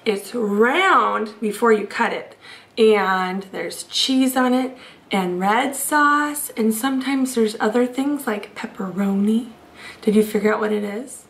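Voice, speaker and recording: A woman in her thirties reading a picture book expressively to children, asking them questions in an animated manner. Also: on the high side, slow pace. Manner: animated, addresses young listeners with questions. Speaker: children's read-aloud storyteller. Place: small room at home. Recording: roomy echo.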